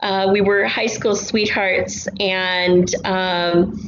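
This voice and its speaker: A middle-aged American woman talks casually as an interview guest, explaining at length like a storyteller. Her voice sits at a medium pitch. The recording is roomy and slightly dull, with faint noise behind it. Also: steady tone, extremely slow. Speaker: guest, audio drama writer. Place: untreated city apartment room.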